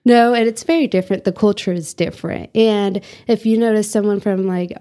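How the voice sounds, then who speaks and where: A woman's voice: mid-range voice, fairly even intonation, brisk, mellow. A young woman from the United States answering interview questions in an earnest, reflective way, with many fillers. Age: young adult. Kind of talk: oral history interview.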